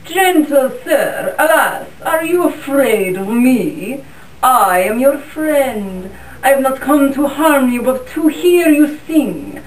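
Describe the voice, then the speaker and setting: A young woman voicing a cunning, smooth-talking male fox in a class puppet show, speaking in a flattering, honeyed way. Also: high-pitched, slow. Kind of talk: school puppet show acting. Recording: roomy sound from a distant mic.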